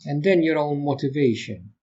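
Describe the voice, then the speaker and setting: A man leading a guided meditation, giving gentle, calm instructions with long unhurried pauses. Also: a little high, slightly rough, dull audio. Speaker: meditation teacher.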